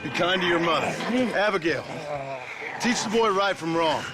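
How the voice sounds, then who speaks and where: An actor playing a dry, laconic western gunslinger with an American accent in a video game. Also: male voice, high-pitched, moderate pace, heavy background noise, lightly acted. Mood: concerned, weary. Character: gruff, dry-witted western gunslinger, family man. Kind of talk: video game cutscene acting.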